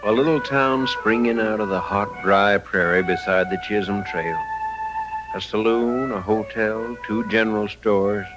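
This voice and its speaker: An actor as a grave storyteller of the old West, narrating a radio drama in a measured, descriptive way over music. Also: male voice, on the low side, close to an everyday voice, poor audio. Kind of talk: dramatic scripted narration, 1950s radio western.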